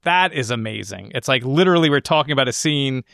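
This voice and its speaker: A man's voice: medium pitch, expressive, quick pace, vocal fry, a bit bright, dry recording. Man chatting casually about film, dry sound. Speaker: podcast co-host discussing a film.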